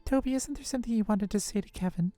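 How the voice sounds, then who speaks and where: A woman voicing an emotional, caring schoolgirl in a visual novel, earnest and expressive. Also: medium pitch, quick pace, no echo, soft background music, lightly acted. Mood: teasing, prodding. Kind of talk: live visual novel voice acting.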